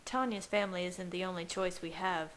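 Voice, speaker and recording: A woman reader plays a young male vampire in an audiobook. The delivery is gentle and protective, meant to soothe. A low hiss sits behind the voice. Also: fairly even intonation, a little fast, close to an everyday voice. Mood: gentle, measured. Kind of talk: audiobook character voice. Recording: hissy home recording.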